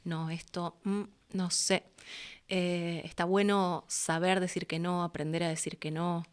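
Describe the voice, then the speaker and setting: An Argentine woman with a slightly low voice speaks as a guest in a relaxed interview. She is candid and conversational, forming her thoughts as she talks. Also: unhurried, smooth. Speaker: interview guest, voice actress and producer.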